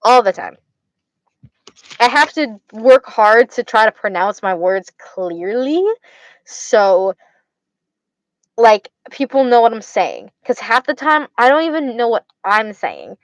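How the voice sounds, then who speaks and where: A woman's voice: fairly high pitch, big pitch swings, slow, no echo, poor audio. A young woman with an Arizona accent, chatting casually with viewers on a stream; she stutters, slurs her words and repeats herself. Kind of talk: casual chat.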